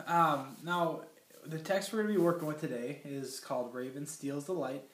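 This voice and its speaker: A man's voice: a little high, normal speed, a bit bright. Young male teacher with a head cold, reads aloud plainly, roomy classroom sound from a distance. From Minnesota. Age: young adult. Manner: plain teacherly reading, many fillers. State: has a cold. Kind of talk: classroom lesson and story read-aloud.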